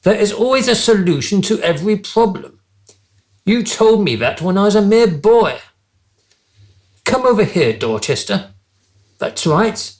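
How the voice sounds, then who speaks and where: A man's voice: high voice, very animated, a little slow, long pauses. An actor playing a childish, vain and callous king in a comic radio play, pompous and petulant. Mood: smug. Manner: pompous, petulant, theatrically posh phrasing.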